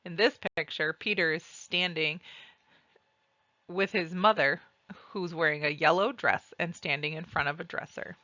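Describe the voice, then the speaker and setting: Slow, bright-voiced woman reading a storybook. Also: sits low, no room sound, highs cut off. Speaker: read-aloud narrator. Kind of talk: children's storybook read-aloud with picture descriptions.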